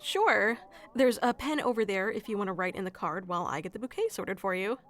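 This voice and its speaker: A woman playing a sweet, flustered florist secretly in love with a best friend, in an intimate audio roleplay with a hesitant, soft-hearted manner. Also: medium pitch, lively intonation, brisk, a little hiss or hum, close to an everyday voice. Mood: polite, helpful. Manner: hesitant, soft-hearted, breaking into nervous chuckles and sighs. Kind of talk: intimate ASMR roleplay acting.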